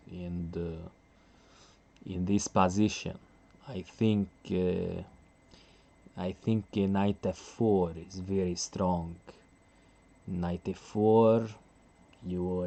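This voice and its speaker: A man putting on a stereotypical Russian accent, speaking slowly and haltingly and dropping articles while analysing a chess position. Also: low-pitched, creaky, mellow, lo-fi sound, heavily acted. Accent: put-on Russian. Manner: slow, halting, drops articles.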